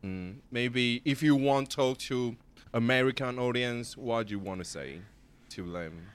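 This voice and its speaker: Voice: medium pitch, a little slow, smooth. A speaker interviewing a podcaster in casual, broken English, exclaiming often. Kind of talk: casual chat.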